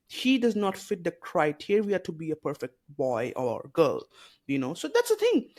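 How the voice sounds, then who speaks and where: A podcast host speaking earnestly and with strong opinions, leaning on "you know" and rhetorical questions in a casual conversation. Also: medium pitch.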